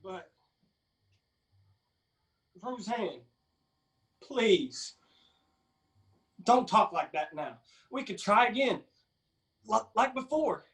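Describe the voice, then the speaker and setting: An actor in a radio drama playing a sheepish, pleading young man with an Appalachian accent, halting and apologetic. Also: high-pitched, lively intonation, a bit bright, heavily acted. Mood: desperate pleading. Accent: Appalachian American.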